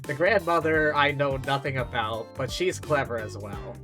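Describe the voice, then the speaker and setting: A man's voice: mid-range voice, normal speed, over music. A man live streaming a game, commenting casually and reading narration aloud in a wry, offhand way.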